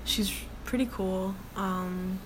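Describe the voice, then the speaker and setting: A young woman with a deep voice hosting her own casual solo podcast from her workplace, rambling with many ums and likes in a relaxed way. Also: takes it slowly. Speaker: podcast host. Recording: noticeable background noise.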